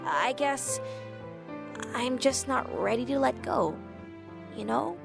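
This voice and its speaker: A woman's voice: sits high, big pitch swings, slow pace, creaky, over music, exaggerated voice. A woman voicing a worried, protective tomboy pegasus mother, casual and earnest, in a dramatized story reading. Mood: apologetic. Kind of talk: dramatized fan fiction reading.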